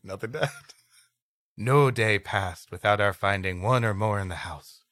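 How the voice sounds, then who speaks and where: A man's voice: fairly low pitch, normal speed, fairly bright tone, no echo, clean studio sound. A man co-hosting a comedy podcast, reading an old adventure story aloud expressively and dropping in playful asides. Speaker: podcast co-host.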